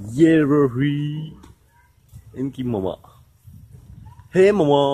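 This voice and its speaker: A young man from India talks playfully to a handheld camera in a casual vlog. His voice sits a little high for a man. He speaks slowly and drawls, stretching his words out. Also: a little mellow. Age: young adult. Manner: playful, jumps between Hindi and broken English. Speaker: vlogger. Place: small home room and village outdoors. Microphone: handheld camera at arm's length.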